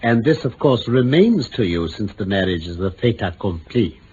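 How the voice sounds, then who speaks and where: A man's voice: lowish voice, expressive, moderate pace, darkish tone, natural voice. A shrewd English detective played by a male radio actor questions with cool courtesy and authority. His phrasing is formal and dryly ironic, with a very mobile melody. The vintage drama recording is dull, with a light hiss. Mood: probing. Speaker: actor in the lead detective role. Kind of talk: 1950s-60s BBC radio drama acting. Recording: muffled, hissy old radio recording.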